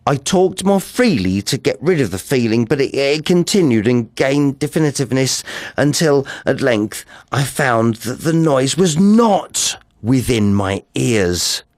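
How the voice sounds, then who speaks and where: A man's voice: medium pitch, a little mellow, dry recording, clean studio sound. A man playing an unhinged, cunning murderer confessing in a theatrical reading of a horror story, with dramatic swings in delivery. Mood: uneasy, mounting dread.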